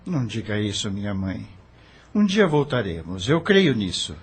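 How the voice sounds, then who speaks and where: A man's voice: lowish voice, sing-song, moderate pace, breathy edge, exaggerated voice. A man playing an earnest, grieving doctor and family man in an audio novel. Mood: firm, reassuring. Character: earnest grieving widowed doctor, loving husband and father. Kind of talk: audio novel dramatic acting.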